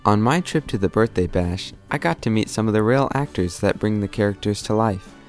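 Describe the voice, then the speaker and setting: A man delivers scripted documentary narration in a fairly low voice. Light music plays underneath him. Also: expressive, brisk.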